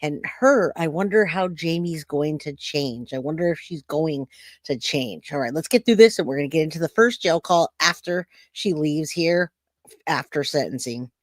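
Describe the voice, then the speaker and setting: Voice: mid-range voice, normal speed, no echo. A livestream host chatting casually to viewers and giving informal commentary.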